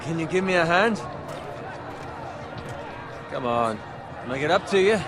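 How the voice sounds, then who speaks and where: An actor playing a bitter, envious young squire in a fantasy game, grumbling with sarcasm and swagger. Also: male voice, a little high, lively intonation, measured pace, a crowd in the background, background noise. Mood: complaining. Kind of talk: dramatic video game acting.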